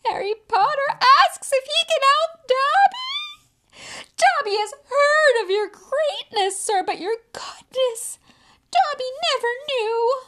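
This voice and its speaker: A tiny, tearful male house-elf, as a woman reads him, squeaking far above ordinary speech with a gravelly, strained edge. His voice swoops wildly up and down as he pleads and fusses, always fervent and servile, like a creature from a storybook. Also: very animated, unhurried, fairly bright tone, heavily acted. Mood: reverent. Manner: refers to himself in the third person, fervent and pleading.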